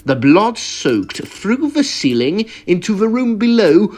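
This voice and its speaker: Man playing a slow, droll Edwardian gentleman. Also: high voice, very animated, exaggerated voice. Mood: wry, dramatic. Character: Edwardian gentlemen, detective and office colleague, deliberate, wryly dry. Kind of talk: period radio drama dialogue.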